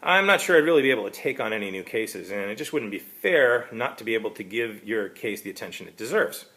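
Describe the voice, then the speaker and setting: Man playing a brusque, animated private detective. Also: on the low side, quick pace. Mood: evasive, polite. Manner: brusque, casual wording. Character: wry, skeptical male private detective, brusque and guarded. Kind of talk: amateur storybook reading of character dialogue.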